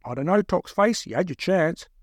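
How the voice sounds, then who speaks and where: A man puts on a big, taunting thug for an audiobook. The voice sits raised and lively, in a dry close space. He teases his captive with a mocking quizmaster's manner. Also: high-pitched, moderate pace, no room sound, pitched above the performer's own voice. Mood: mocking, gleeful. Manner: mocking, teasing interrogator. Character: big, grinning, taunting male captor giving a quiz. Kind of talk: dramatised audiobook dialogue.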